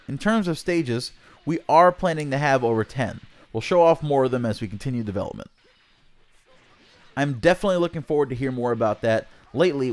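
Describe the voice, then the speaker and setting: A man reading scripted video game news as a channel host, presenting a written interview. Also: medium pitch.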